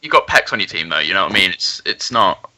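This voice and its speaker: Fast-talking bright-voiced man. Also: sits low, sing-song, a little hiss or hum, poor audio. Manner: quick interjections, stumbling restarts. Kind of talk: casual esports podcast chat.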